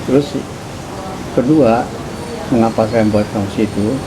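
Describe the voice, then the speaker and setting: A man in his seventies with a Jakarta accent, recounting his memories slowly and deliberately in an interview at home. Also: on the low side. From Indonesia. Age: 70s. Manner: measured, deliberate storytelling. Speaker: interviewee, linguist. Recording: loud background noise.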